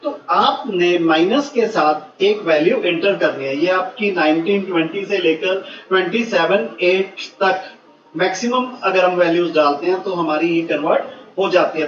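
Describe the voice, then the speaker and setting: A man giving step-by-step software instructions, mixing in English technical words. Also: sits high. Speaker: software tutorial presenter. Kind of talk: step-by-step screen tutorial. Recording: muffled, roomy, distant mic, faint music.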